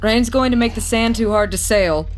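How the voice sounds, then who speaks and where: An actor playing a calm, take-charge smuggler pilot in a sci-fi audio drama. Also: normal speed, natural voice. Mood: steady. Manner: steady, wry, take-charge. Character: calm, commanding smuggler pilot of a desert craft. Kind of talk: full-cast audio drama acting. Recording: music bed, vehicle rumble behind.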